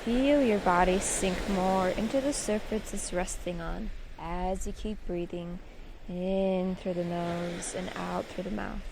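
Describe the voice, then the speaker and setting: A woman leading a guided meditation in a soothing, measured way, leaving pauses between short instructions. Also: fairly low pitch, creaky, background noise.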